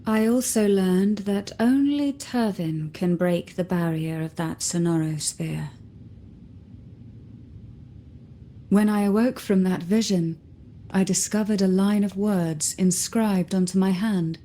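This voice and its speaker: Poised, mysterious noblewoman for a video game, female actor. Soft, mellow tone, unhurried, formal, measured. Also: medium pitch, lightly acted. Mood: grave, mysterious. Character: enigmatic composed noblewoman, secretive and measured.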